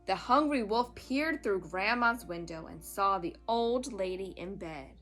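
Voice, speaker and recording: A woman storyteller reading a children's tale in an expressive, dramatic, emphatic style. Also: medium pitch, measured pace, soft background music.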